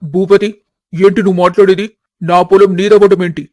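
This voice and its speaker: A man's voice: high voice, level tone, normal speed, darkish tone, no echo, close to an everyday voice. A man playing an honest older farmer and father-in-law, indignant and questioning, in a family storybook melodrama.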